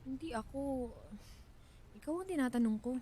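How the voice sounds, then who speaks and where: An actress playing a distressed, exasperated wife in a Filipino radio drama, with pleading emotional delivery. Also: female voice, high voice, expressive, moderate pace, mellow, a little hiss or hum. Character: Thelma, distressed wife confronting her angry husband.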